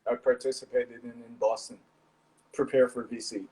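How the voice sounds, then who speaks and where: A man from Indonesia, a startup founder, explaining his business in a measured way over a video call, with some fillers. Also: mid-range voice, little pitch movement, mellow, rough recording.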